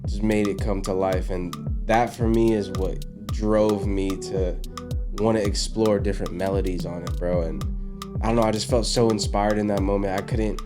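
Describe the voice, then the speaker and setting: A man's voice: on the low side, steady tone, normal speed. A man, a singer, chatting casually and easily, saying "bro" a lot. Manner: easygoing, laughs often, says "bro" a lot. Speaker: singer, artist. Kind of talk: casual chat. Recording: music clearly playing behind.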